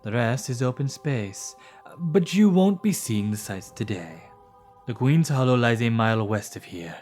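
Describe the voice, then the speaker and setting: A man voicing a sly, mercenary wyvern guide in an animated fantasy, with formal, deliberate phrasing. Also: medium pitch, soft background music, close to an everyday voice. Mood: brisk, formal. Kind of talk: animated fantasy drama acting.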